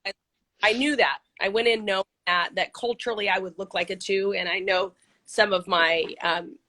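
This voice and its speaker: A middle-aged woman from Central California, a little hoarse, talking casually and upbeat with lots of ums and restarts in an interview. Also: mid-range voice, steady tone, normal speed, pushed and bright. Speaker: interview guest, nonprofit CEO. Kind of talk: casual video-call interview.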